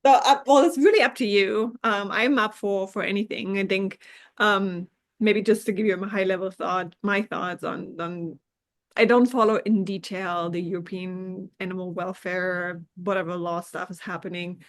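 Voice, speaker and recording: A woman's voice: medium pitch, steady tone, normal speed. A woman from Germany, a scientist guest in a podcast interview, explaining research thoughtfully with hedges and restarts.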